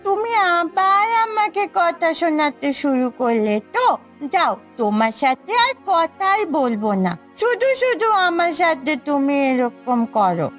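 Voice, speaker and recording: A woman's voice: high-pitched, very animated, measured pace, soft background music. A woman voicing a sulky, pouting little village schoolgirl in a moral cartoon story. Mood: sulky, whining. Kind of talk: Bengali moral cartoon dubbing.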